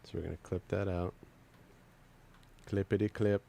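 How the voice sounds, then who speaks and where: A man's voice: sits low, moderate pace, gravelly. A man from California with a Californian accent, a musician chatting casually and playfully while mixing on a live stream. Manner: relaxed, playful, joking.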